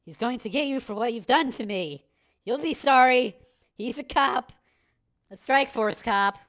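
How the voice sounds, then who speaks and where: A woman's voice: on the high side, expressive, very slow, a little mellow, dry recording, studio quality. An actress playing a frightened but defiant young captive woman in a dramatised story reading. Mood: desperate warning. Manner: toneless turning to tearful defiance.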